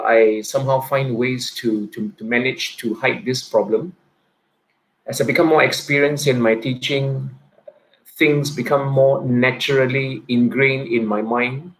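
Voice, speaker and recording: A man in his thirties, a teacher, giving a personal reflective talk, speaking haltingly with a stutter and frequent repeats and restarts. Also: medium pitch, gravelly, a little hiss or hum, poor audio. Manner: soft-spoken and introverted.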